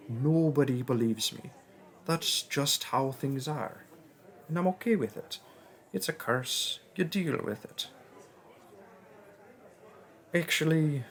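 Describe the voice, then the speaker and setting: A man plays a young male seer student who is friendly but worn down by a curse no one takes seriously. He speaks slowly and earnestly, rambling through his frustrations at a mid pitch. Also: vocal fry. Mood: frustrated. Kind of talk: audio roleplay.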